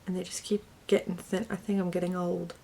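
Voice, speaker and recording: A woman's voice: on the low side, flat delivery, a little fast, vocal fry, mellow, slight background noise. A young woman with a slight Southern US accent, chatting snarkily through a get-ready-with-me makeup video and muttering asides. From Connecticut and the US South. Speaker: beauty vlogger. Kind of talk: casual get-ready-with-me chat.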